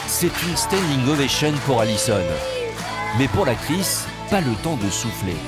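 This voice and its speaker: A man narrates a TV documentary from a prepared script, in a mid-pitched voice. Music plays clearly behind him. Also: very animated, unhurried.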